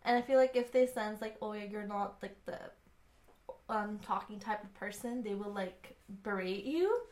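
A young woman co-hosting a podcast, telling personal stories casually in short, halting bursts. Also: medium pitch, a little mellow, echoey room. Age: young adult. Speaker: podcast co-host. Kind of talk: casual chat, personal anecdotes.

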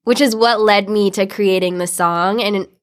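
Female musician guest, mid-range voice, relaxed wording, informal storytelling in an interview setting. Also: medium pitch, normal speed. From United States, New England. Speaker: interview guest, singer and producer. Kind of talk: casual interview chat.

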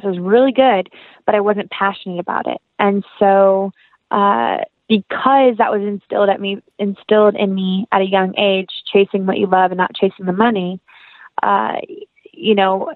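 A woman's voice: mid-range voice, dry recording, phone-quality sound. A young American woman, an actress chatting as an interview guest in an earnest, effusive, rambling way. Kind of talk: casual interview chat, anecdotal.

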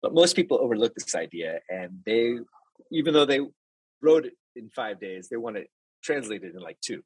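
A man's voice: mid-range voice, big pitch swings, normal speed, breathy edge, dry recording, rough recording. A man, a translator and interpreter, explaining his work step by step in a casual interview, with restarts and examples.

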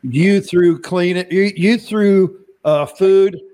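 A man's voice: sits high, slow pace, mellow. A man hosting a podcast interview, talking in a loose, rambling way and often repeating words as he starts a sentence. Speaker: podcast host. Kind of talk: casual interview chat.

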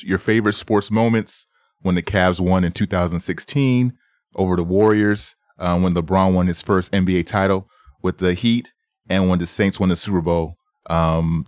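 Brief: deep-voiced man host, dry close mic, loose joking interviewer. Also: dry recording. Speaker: podcast host. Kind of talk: casual interview chat.

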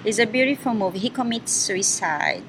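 A woman's voice: mid-range voice, animated, brisk, a little hiss or hum, rough recording. A woman whose first language is Portuguese, chatting as a podcast guest, laughing often and searching for English words. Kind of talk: casual podcast chat.

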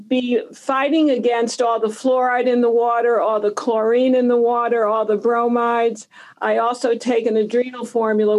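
A woman's voice: on the high side, darkish tone, low-quality recording. A woman in her seventies giving emphatic, instructive answers as a health expert guest in an interview. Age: 70s.

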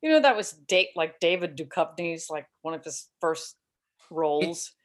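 Low-voiced older woman, relaxed and amused. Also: moderate pace. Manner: laughs easily, relaxed and agreeable. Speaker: actress guest. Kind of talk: casual interview chat.

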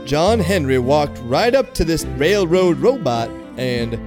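A folksy storyteller played by a man drawls out a tall tale in a rather high, very animated voice. The telling is colloquial and emphatic, with country guitar clearly behind it. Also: slow pace. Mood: folksy, relishing. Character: folksy robot storyteller spinning tall tales. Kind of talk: Southern-flavored folk tale narration.

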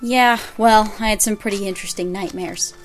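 A woman's voice: medium pitch, moderate pace, over music, natural voice. A woman playing a fussy, affectionate young librarian daughter in a naturalistic audio drama, with a chiding, familiar manner. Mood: wry.

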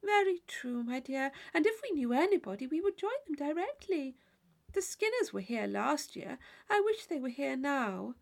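A woman voicing a placid, fussy married gentlewoman in an audiobook, chattering in genteel exclamations. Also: sits high, sing-song, normal speed, gravelly, pitched above the performer's own voice, heavily acted. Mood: placidly agreeing.

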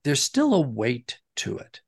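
A man with a medium-pitched, gravelly voice speaks as an interview guest. He is conversational and thoughtful, rephrasing as he explains. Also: wildly swooping pitch, unhurried. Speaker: interview guest, audio drama writer and director.

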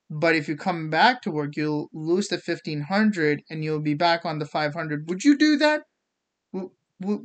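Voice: mid-range voice, fairly bright tone. A speaker of unclear sex, from India, with an American accent that carries traces of Indian, giving a casual, opinionated rant in a theatrical, sarcastic manner. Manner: theatrical, sarcastic, swearing, acts out mock quotes. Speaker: host of the show.

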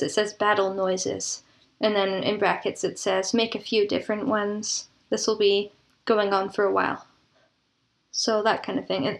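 A woman with a fairly high voice talks as a guest in an interview. She explains things in earnest detail, in a conversational way. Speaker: interview guest, audio drama producer and composer.

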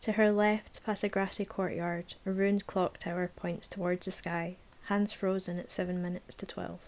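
A woman narrating a novel aloud in a measured, sometimes hushed voice. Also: on the low side, level tone. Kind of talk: audiobook narration, present tense.

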